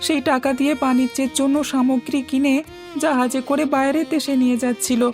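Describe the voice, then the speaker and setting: A woman acts out a young boy in a cartoon fairy tale. The voice is high and childlike, affectionate toward family and quick to worry. Faint music plays underneath. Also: little pitch movement, darkish tone. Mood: anxious. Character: young boy, anxious, affectionate little brother.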